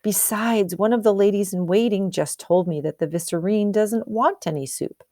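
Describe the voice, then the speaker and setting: A woman's voice: mid-range voice, moderate pace, mellow, no room sound, clean studio sound, lightly acted. A woman voicing a brash, easily exasperated male palace cook in a storybook, exclaiming with emphasis.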